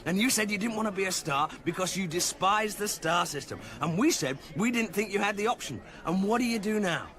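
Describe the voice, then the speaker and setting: A man playing a brash, excitable party-loving rogue in a sci-fi radio comedy, rambling in a hip, exuberant way. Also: high-pitched, fairly quick, hardly any pauses. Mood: exuberant, matey. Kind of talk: radio comedy drama acting.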